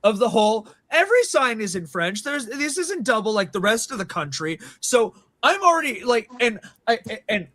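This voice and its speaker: A man's voice: extremely high pitch, sing-song, moderate pace, hardly any pauses, pushed and bright, dry recording. A man telling a long, rambling story to friends with lots of fillers and restarts, acting out quoted dialogue. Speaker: band member and show regular. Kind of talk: casual storytelling among friends. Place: small room with several people around mics.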